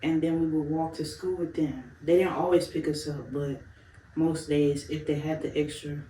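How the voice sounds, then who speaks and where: A woman's voice: low voice, steady tone, normal speed, dark tone, recorded from a distance, a little hiss or hum. A young woman from Chicago talks candidly as an interview guest, laughing often and cursing without fuss. She sits well back from the microphone in a roomy space with faint ambience behind her. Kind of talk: casual interview chat.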